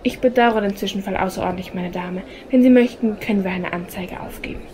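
An actress playing a stern, officious theatre usher in an audio drama, curt and authoritative. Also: female voice, medium pitch, fairly quick, darkish tone, natural voice. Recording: faint background noise.

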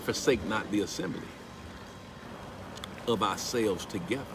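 A middle-aged man telling a story to his phone camera outdoors by a pool, slipping into animated preaching as he quotes scripture. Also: lowish voice, measured pace. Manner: animated, rising into emphatic preaching when quoting scripture. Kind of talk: casual storytelling vlog with preaching. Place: outdoors beside a pool at a house. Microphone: phone camera at arm's length. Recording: outdoor recording with steady background noise.

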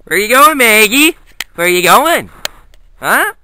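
A man's voice: sits high, big pitch swings, takes it slowly, bright, forceful tone, slight background noise. A man talking to his dogs in a sing-song, coaxing way while filming a walk through snowy woods on a handheld camera. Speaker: vlogger walking his dogs.

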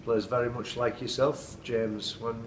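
Middle-aged man, football manager, earnest and flat, noisy room sound. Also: mid-range voice, a little slow, distant mic. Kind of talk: post-match interview. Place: indoors at night, dim room. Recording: echoey room, off-mic, noisy.

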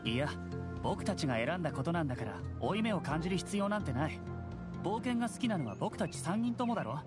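A man's voice: a little high, sing-song, quick pace, over loud music. A man playing a brainy, reassuring young adult brother in a Japanese anime game.

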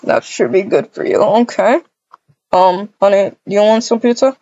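A woman with a husky voice improvising a family roleplay in a halting, repetitive way, full of cooing endearments. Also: mid-range voice, warm tone, no echo. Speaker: roleplay video creator voicing all characters. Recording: dull, lo-fi microphone sound.

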